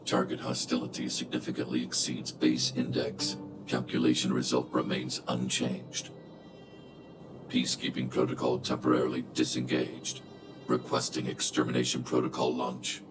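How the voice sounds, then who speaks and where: Extremely deep, cold guardian robot. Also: male voice, completely flat delivery, normal speed, music in the background. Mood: cold, threatening. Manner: formal, clipped machine-like delivery. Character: cold, imposing, logical guardian robot, protective, unyielding. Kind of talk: video game cutscene acting.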